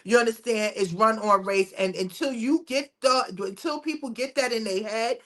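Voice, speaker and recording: A woman's voice: on the high side, normal speed, fairly bright tone. A woman co-hosting a podcast, talking casually and emphatically, often checking in with "you understand?". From United States. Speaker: podcast co-host. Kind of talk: casual opinionated discussion.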